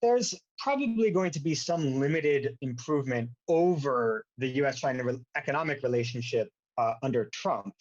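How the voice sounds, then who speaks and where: A man giving an informal academic lecture as a university lecturer, with a wry, sarcastic bent and a halting, hesitant delivery. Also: medium pitch, animated, darkish tone. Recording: dull lo-fi audio.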